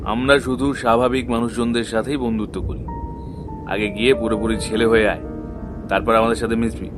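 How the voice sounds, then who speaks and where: A man playing a mocking, bullying young villager in an animated story, sneering and dismissive. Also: mid-range voice, brisk, music in the background, close to an everyday voice. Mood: contemptuous. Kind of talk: acted dialogue in animated story.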